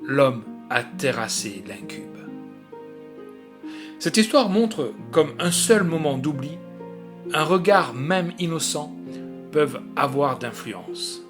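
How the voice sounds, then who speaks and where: A man's voice: fairly high pitch, sing-song, slow pace, over music. A man reading literary fiction as an audiobook narrator, in a dramatic storytelling manner.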